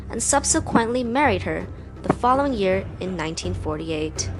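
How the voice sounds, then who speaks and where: Female narrator, medium pitch, scripted read, music clearly underneath.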